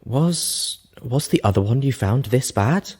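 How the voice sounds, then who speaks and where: Man voicing a refined mare, raised pitch. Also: expressive, unhurried, darkish tone, close to an everyday voice. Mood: uneasy.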